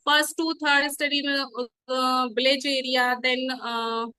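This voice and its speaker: A North Indian woman with Hindi as her first language talks casually as an interview guest. Her voice is high and bright, recorded dry and close. Her learner's English comes haltingly, with a giggly manner. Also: steady tone, clear, resonant tone, no echo. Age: 30s.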